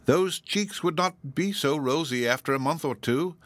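A man's voice: mid-range voice, fairly bright tone, no echo, voice pushed up, close to an everyday voice. A man voicing a dismal, lonesome old woman. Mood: mournful. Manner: mournful, sighing, halting delivery.

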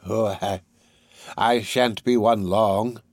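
A man voicing a terrified, deranged old prisoner in a dramatic audiobook, muttering feverishly in broken, drawn-out phrases and cries. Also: lowish voice, fairly even intonation, a little mellow, no echo, studio quality. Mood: bitter rage. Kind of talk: dramatic audiobook character reading.

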